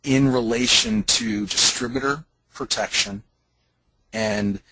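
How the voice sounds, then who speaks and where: A man making an emphatic, persuasive sales pitch on a conference call, like a company leader selling an opportunity. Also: fairly even intonation, takes it slowly, sharp tone, no room sound, rough recording.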